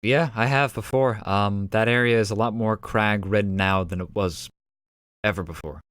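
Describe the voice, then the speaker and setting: A man's voice: lowish voice, steady tone, normal speed, fairly bright tone, no room sound. A man improvising as a player in a tabletop roleplaying game.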